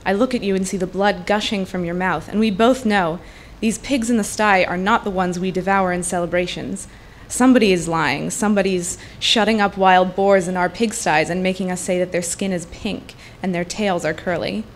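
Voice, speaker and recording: A woman reads fiction aloud at a live literary event. Her voice is on the low side, and her narration is fluent and deliberate, with pauses. Light background noise sits under the recording. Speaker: translator reading at a literary event. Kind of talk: literary prose reading.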